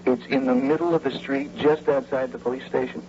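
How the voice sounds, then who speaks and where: An actor playing an easygoing, bookish out-of-work architect in a radio drama, musing earnestly and haltingly. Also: male voice, medium pitch, big pitch swings, gravelly, darkish tone. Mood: keen. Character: easygoing, bookish unemployed architect, quietly scheming enthusiast. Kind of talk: old-time radio drama acting, conversational. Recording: noisy dull vintage radio broadcast recording.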